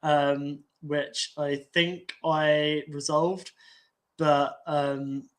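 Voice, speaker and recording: A man, nasal and congested, talking in a slow, halting way with many restarts and fillers. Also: on the high side, level tone. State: congested from an infection. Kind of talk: casual rambling talk.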